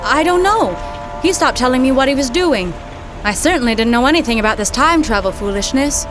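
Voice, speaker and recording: A woman's voice: high-pitched, expressive, brisk, background noise. An actress playing a worried but practical young woman adventurer, terse and direct, in an audio drama.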